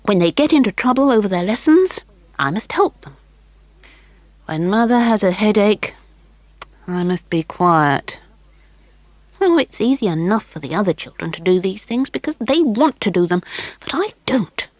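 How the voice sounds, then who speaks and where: A woman voicing a proud, cold-hearted little girl in a storybook, high and prim. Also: big pitch swings, moderate pace, pauses often, a little mellow, no room sound, natural voice. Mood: resolute.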